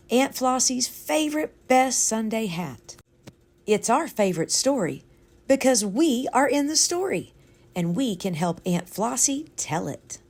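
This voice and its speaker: Slow, animated woman reading a storybook. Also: mid-range voice. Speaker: storytime reader. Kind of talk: expressive children's read-aloud narration.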